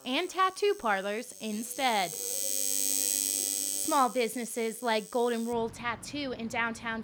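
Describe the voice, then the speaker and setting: A woman in her twenties reading a scripted news report as a student reporter, with clear background noise. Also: fairly high pitch, pushed and bright. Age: 20s.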